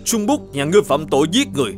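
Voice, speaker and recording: A shrewd, stern governor from an animated folk tale. He lays down judgment with formal, commanding authority and an animated, varied delivery, over background music. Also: fairly high pitch, very fast. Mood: stern, accusing. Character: stern, shrewd male governor judging a murder case. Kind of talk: cartoon folk-tale dubbing.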